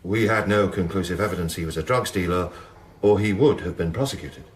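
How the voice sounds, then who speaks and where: An actor playing a stubborn, guarded senior police detective, terse and evasive in a crime radio drama. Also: male voice, low voice, brisk, close to an everyday voice. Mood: stonewalling. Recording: echoey room acoustic.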